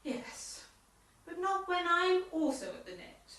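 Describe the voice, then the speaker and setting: Woman acting a prim, high-pitched club chairwoman, very echoey. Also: sing-song, slow pace, pushed and bright, exaggerated voice. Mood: needled. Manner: prim, officious, pointed. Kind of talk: amateur radio play comedy acting. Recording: roomy, echoey, distant home recording.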